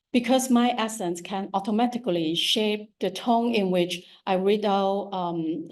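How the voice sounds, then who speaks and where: A woman's voice: medium pitch, a little mellow. Woman with a strong Cantonese accent. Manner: hesitant, many ums and restarts. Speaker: visual artist giving a talk. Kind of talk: lecture on her art practice.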